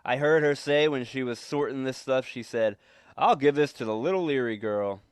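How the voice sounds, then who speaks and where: A man's voice: medium pitch, moderate pace, clear, resonant tone, no echo, studio quality, close to an everyday voice. A man acting a nervous, secretive husband with menace under his evasive stammer, in 1940s radio suspense style. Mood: coaxing, uneasy. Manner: stammering, evasive, repeats words.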